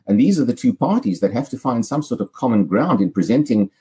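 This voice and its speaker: A South African man speaking as an analyst in an interview, articulate and measured, building long, careful sentences. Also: mid-range voice, animated, warm tone. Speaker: political analyst guest. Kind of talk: interview commentary.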